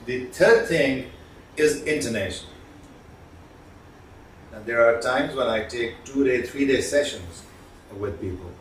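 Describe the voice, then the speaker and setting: Male trainer, mid-pitched, instructional and emphatic, deliberate pauses, echoey distant recording. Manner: deliberate, emphatic, pausing between points. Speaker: communication trainer. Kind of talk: instructional lecture to viewers. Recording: roomy, distant mic, faint background noise.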